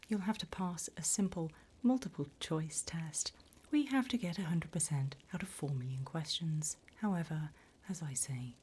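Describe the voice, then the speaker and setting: A woman voicing a politely bureaucratic, wickedly encouraging demon recruiter in a breathy, unhurried ASMR whisper. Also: sits low, dark tone, slight background noise. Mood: dryly official. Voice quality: breathy whisper, mostly unvoiced. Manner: unhurried, polite, dryly businesslike about torment.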